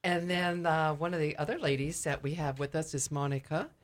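A woman speaking as a ministry director and guest in a conversational radio interview, explaining things a little haltingly. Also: deep voice, fairly even intonation.

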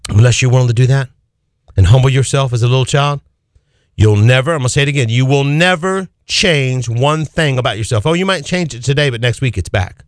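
A man's voice: medium pitch, fairly quick, dry recording, studio quality. A man preaching an Easter sermon as pastor, pressing his points with swinging emphasis and repeated phrases.

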